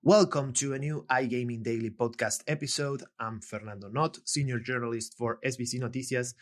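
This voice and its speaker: Man hosting, halting and filler-heavy. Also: medium pitch, steady tone. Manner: hesitant, many fillers and restarts. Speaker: podcast host and journalist. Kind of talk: casual podcast interview.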